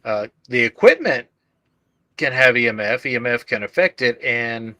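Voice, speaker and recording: A man's voice: medium pitch, animated, pushed and bright, no echo. A man with a self-described droning voice, co-hosting a casual livestream chat about sound and recording. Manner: droning, unhurried delivery. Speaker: livestream co-host.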